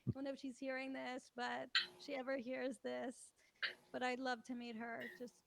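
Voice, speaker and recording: A woman's voice: high voice, monotone, moderate pace, gravelly. A giggly woman from Toronto chatting casually as a podcast guest, on a noisy recording with clicks. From Toronto, Canada. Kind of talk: casual chat.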